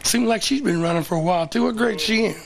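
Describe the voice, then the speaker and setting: Hoarse, gravelly local track commentator man. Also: high voice, fast. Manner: chatty, rambling, folksy. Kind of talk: casual play-by-play commentary. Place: outdoor track meet sidelines.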